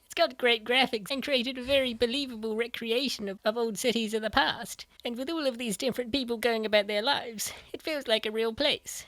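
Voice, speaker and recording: A woman voicing a sensible, patient young female gamer in a comic dub, reasoning in a measured, coaxing way. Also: a little high, a bit bright, no room sound, lightly acted. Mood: reasoning, encouraging.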